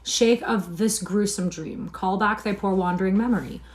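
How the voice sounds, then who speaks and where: A woman's voice: medium pitch, fast talker, natural voice. A woman reading aloud and voicing a distraught poor mother, tearful and pleading. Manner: pleading, lamenting.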